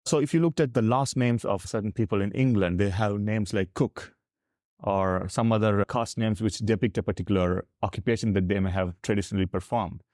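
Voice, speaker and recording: Low-pitched South Indian man, dry close sound. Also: a little fast, a little mellow, dry recording. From Tamil Nadu, South India. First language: Tamil. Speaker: podcast guest. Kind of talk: candid explanatory conversation.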